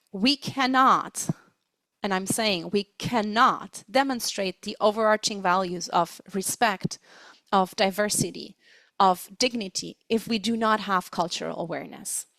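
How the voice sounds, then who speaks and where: A woman giving an explanatory webinar lecture as a trainer, speaking deliberately and stressing her key words. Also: mid-range voice, fairly bright tone, no echo, poor audio. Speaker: webinar presenter, interpreting trainer.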